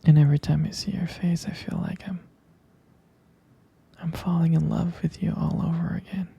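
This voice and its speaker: A man performing a romantic ASMR roleplay in a breathy, husky whisper, speaking tenderly and adoringly with halting pauses. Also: on the high side, little pitch movement, dark tone.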